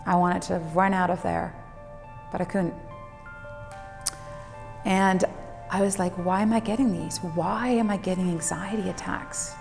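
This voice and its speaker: A woman telling her personal story reflectively, unhurried and emphatic, with dramatic pauses. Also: fairly low pitch, fairly even intonation, vocal fry, a little mellow. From Netherlands. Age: 40s. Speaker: fitness instructor and speaker. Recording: long reverberant hall, music underneath. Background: music.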